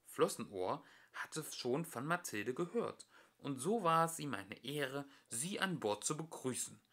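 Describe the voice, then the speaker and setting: Bright-voiced young man reading a children's story. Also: medium pitch, unhurried. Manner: expressive storytelling. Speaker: amateur audiobook narrator.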